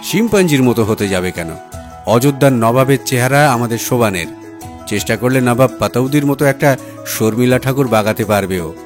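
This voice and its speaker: A man's voice: mid-range voice, lively intonation, brisk, close to an everyday voice. A man voicing a confident, boastful businessman husband in an audio story, breezy and self-assured. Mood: teasing. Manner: breezy, self-assured, drops in English words. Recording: music playing behind the voice.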